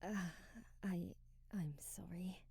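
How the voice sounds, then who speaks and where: A woman's voice: fairly low pitch, fairly even intonation, slow, gravelly, dark tone, breathy edge, no room sound, studio quality, full character voice. A woman playing a timid, devoted young maid in an ASMR roleplay, speaking formally and deferentially with hesitant stammers and period-drama phrasing. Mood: hesitant, hurt.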